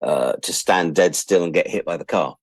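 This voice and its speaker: Low-voiced wry Englishman. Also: normal speed, dry recording, studio quality. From England. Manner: wry, self-deprecating, acts out imagined quotes. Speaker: interview guest. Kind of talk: reflective casual chat.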